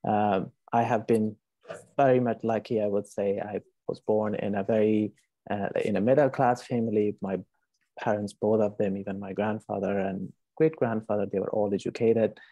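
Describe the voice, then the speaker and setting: Guest author, thirties, soft hesitant delivery, dry close sound. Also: male voice, on the low side, fairly even intonation, normal speed, no echo, dull audio. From Afghanistan. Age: 30s. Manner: gentle, hesitant, many fillers. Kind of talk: presenting over a video call. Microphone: video call.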